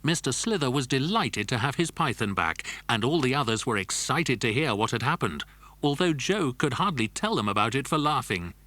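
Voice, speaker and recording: A man's voice: mid-range voice, very animated, moderate pace, sharp tone. A man narrating a scripted children's adventure story.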